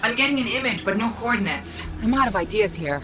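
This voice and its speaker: An actress playing a witty, upbeat little AI companion in a video game, brisk and confident. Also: female voice, mid-range voice, expressive, close to an everyday voice. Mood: focused. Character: witty, upbeat female Ghost, small AI companion. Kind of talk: scripted video game voice acting. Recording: music playing behind the voice.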